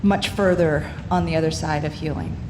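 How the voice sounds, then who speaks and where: A woman's voice: low voice, steady tone, moderate pace. Hesitant woman in a reverberant church. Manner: hesitant, heartfelt, with many fillers. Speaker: congregant sharing joys and sorrows. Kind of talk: unscripted spoken reflection. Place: large church sanctuary. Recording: long hall reverb, loud background noise.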